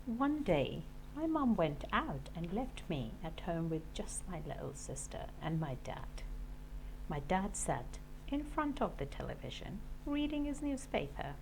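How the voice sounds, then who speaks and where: A woman teacher reading a children's storybook aloud in an expressive, deliberate way. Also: on the low side, a little hiss or hum, low-quality recording. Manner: animated, deliberate storytelling for young listeners. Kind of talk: expressive children's audiobook narration.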